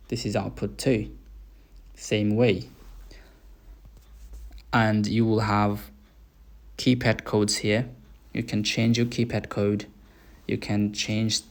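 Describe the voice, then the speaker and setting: Slow, even-toned man giving a walkthrough. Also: lowish voice. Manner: deliberate, halting phrasing in short segments. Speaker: gate installer presenting his work. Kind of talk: product walkthrough narration.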